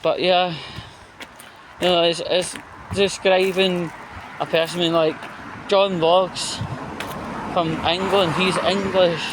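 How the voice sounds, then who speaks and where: Scottish guy rambling to his vlog, slightly high voice, halting and opinionated, some background noise. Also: little pitch movement. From Scotland. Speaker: vlogger. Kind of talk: rambling casual monologue, telling an anecdote.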